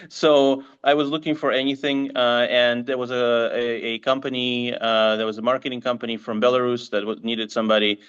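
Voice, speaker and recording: A man's voice: medium pitch, little pitch movement, normal speed, fairly bright tone, clean tone, muffled recording. A middle-aged man, a marketing agency head, answers interview questions thoughtfully with frequent restarts and ums. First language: English and Russian. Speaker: marketing agency CEO, guest.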